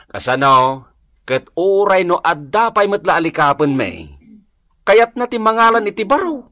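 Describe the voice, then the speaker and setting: Exasperated Ilocano husband, animated voice. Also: male voice, high-pitched, normal speed, no echo, studio quality, full character voice. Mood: troubled. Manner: complaining, plaintive. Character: exasperated, worried husband burdened by debts. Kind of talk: Filipino radio comedy drama acting.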